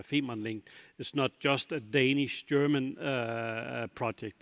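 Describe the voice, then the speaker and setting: A man answering as a panelist in a discussion, in a halting way with many pauses. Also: mid-range voice.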